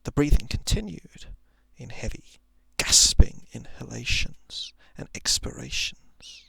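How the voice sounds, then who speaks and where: A man reading a thriller short story as ASMR, in a soft, hushed, often whispered voice with dramatic, drawn-out phrasing. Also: mid-range voice, wildly swooping pitch, vocal fry, breathy edge, no room sound. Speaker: narrator.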